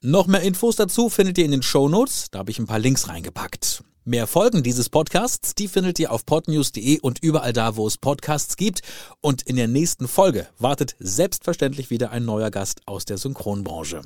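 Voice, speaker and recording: A man with a medium-pitched voice works as a podcast host. He talks conversationally and effusively, often doubling words for emphasis, as if face to face with a guest in a studio. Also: sing-song, moderate pace, darkish tone. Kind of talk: warm-toned conversational interview and sign-off. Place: indoor studio, in person with guest.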